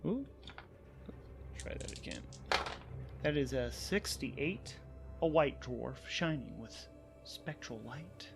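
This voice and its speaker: A man hosting and narrating a solo tabletop game in a casual way, thinking aloud and improvising haltingly, often repeating words as he goes. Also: mid-range voice, animated, vocal fry, soft background music.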